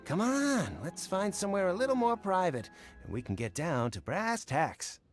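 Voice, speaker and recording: Male merchant, very animated pitch, eager and ingratiating, wordy, game character, music behind. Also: moderate pace. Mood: oily, coaxing. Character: eager, opportunistic male merchant, smooth-talking. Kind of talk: video game voice acting.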